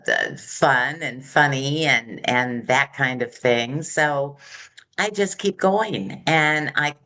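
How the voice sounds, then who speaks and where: A woman's voice: sits low, pushed and bright. An American woman in her seventies telling personal stories in conversation as a podcast guest, candid and acting out bits of dialogue. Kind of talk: reflective personal storytelling in conversation.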